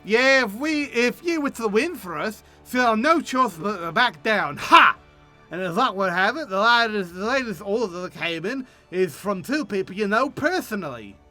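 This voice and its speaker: A man's voice: high voice, animated, moderate pace, sharp tone, faint music behind, full character voice. A man voicing a blustering, scheming guildmaster from a fantasy game, emphatic and folksy. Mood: scheming, eager.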